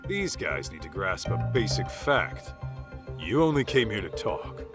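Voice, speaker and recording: A smooth-talking gangster fixer in a video game, cocky but apologetic, voice swings around a lot, music underneath. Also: male voice, fairly low pitch, very animated, measured pace. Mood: assured, reasoning. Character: slick, placating male yakuza fixer, cocky but apologetic. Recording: music behind the voice.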